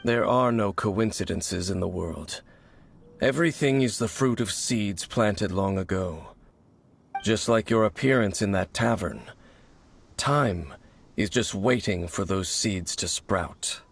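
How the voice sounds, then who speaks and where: An actor playing a mysterious, reserved warrior in a video game, grave and gravelly, speaking in a solemn, formal, measured way. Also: male voice, slight background noise. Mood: solemn, cryptic. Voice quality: low, gravelly. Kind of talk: dramatic video game character acting. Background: background music.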